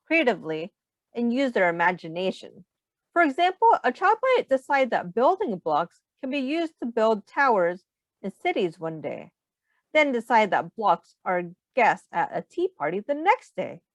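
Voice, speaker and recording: A woman reading aloud in an instructive, deliberate way with expressive emphasis. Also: fairly high pitch, dry recording. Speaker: teacher reading a picture book aloud. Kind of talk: read-aloud narration.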